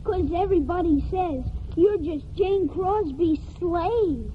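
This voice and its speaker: A woman's voice: very high-pitched, slow pace. A woman playing a frank, curious and stubborn little farm boy with folksy speech in a 1940s radio drama. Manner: folksy, childlike, blunt. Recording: tinny, noisy old radio broadcast.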